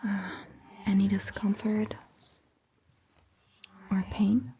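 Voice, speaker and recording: A woman playing a caring vampire doctor in an ASMR roleplay, whispering gently and reassuringly in a breathy, hushed voice. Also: mid-range voice, little pitch movement, a little hiss or hum. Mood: gentle, reassuring. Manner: gentle, slow, reassuring, softly questioning. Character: caring, attentive female vampire doctor tending a patient. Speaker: ASMR performer. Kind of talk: soft-spoken ASMR roleplay, mostly whispered.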